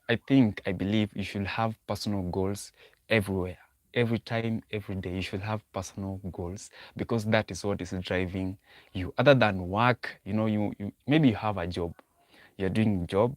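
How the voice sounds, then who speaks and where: A man's voice: on the low side, a little mellow, dry recording. A young man hosting a livestream, talking casually about dating advice, repeating himself as he thinks aloud and answering chat. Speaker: livestream host.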